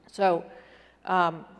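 A middle-aged woman gives an informal, conversational business presentation at a conference. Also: fairly low pitch. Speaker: conference speaker, corporate executive. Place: large indoor conference stage before an audience. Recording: echoey hall with long reverb.

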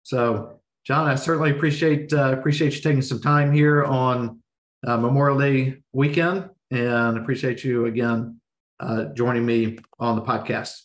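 Man, middle-aged, hoarse podcast host, easygoing and rambling, video-call sound. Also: mid-range voice, normal speed. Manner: relaxed, rambling, with restarts. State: hoarse, losing his voice. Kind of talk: casual podcast interview. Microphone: video call webcam.

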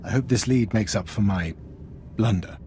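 A man's voice: fairly low pitch, normal speed, dark tone, a little hiss or hum, close to an everyday voice. An actor playing a deferential, earnest military commander in a video game, speaking formally and apologetically as he explains. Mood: earnest briefing.

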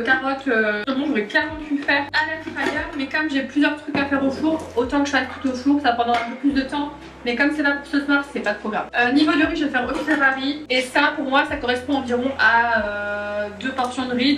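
A woman's voice: high-pitched, distant mic, noisy background. A young woman chatting casually and rambling with many fillers while she cooks at home, recovering from a stomach illness. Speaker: cooking vlogger. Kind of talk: casual chat while cooking. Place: home kitchen, rain outside. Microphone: far camera. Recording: echoey kitchen, voice off-mic.

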